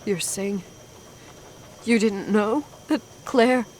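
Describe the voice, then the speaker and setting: Actress doing anguished haunted woman in a story game, hushed n halting, mid pitch, noisy background. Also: natural voice. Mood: resigned, hurt. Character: distraught grown woman haunted by a repressed family memory. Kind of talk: dramatic video game voice acting. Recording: clearly noisy ambience behind the voice.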